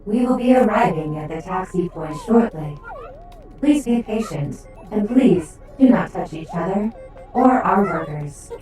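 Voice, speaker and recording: A woman's voice: mid-range voice, expressive, unhurried, mellow, close to an everyday voice. A woman playing a polite spaceship announcer giving scripted public address instructions in an audio drama. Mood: polite, instructive. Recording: very echoey, distant announcement over music.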